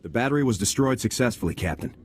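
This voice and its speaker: An actor playing a terse, steady military lieutenant in a video game, speaking in a clipped, businesslike way. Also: male voice, medium pitch, quick pace, slight background noise, close to an everyday voice. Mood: composed, curt.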